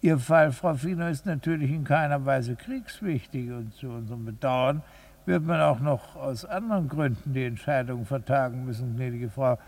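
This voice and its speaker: An actor playing a formally polite, placating bureaucratic lawyer in a German radio drama, with an officious manner. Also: male voice, medium pitch, moderate pace, darkish tone, close to an everyday voice.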